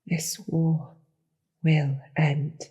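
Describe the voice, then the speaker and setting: A performer voicing a gentle, loyal servant of a demon queen in an audio roleplay, breathy, tender and reassuring. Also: mid-range voice, level tone, extremely slow. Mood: tender, consoling. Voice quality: breathy, soft. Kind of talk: dramatic audio roleplay acting.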